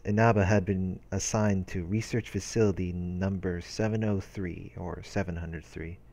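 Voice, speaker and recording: A man reading visual novel narration aloud as a let's play host, in a measured manner with brief casual commentary. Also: low voice, darkish tone. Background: game music.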